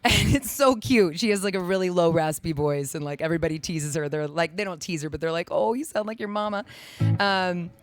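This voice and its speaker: A raspy woman in her thirties, a singer-songwriter rambling and joking between songs on a stage. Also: fairly low pitch. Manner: rambling, self-mocking, quick asides. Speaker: singer-songwriter performing live. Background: guitar playing.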